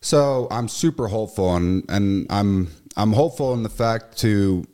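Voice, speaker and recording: A young man in his twenties who talks loudly and with emotion, a former football player chatting as a studio guest. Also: fairly low pitch, measured pace. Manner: loud, emotional, animated delivery. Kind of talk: casual radio chat.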